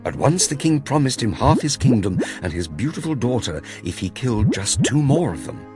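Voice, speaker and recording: A man tells a children's fairy tale with theatrical expression. His mid-pitched voice rises and falls widely, and music plays clearly behind him. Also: big pitch swings, normal speed. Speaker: storyteller.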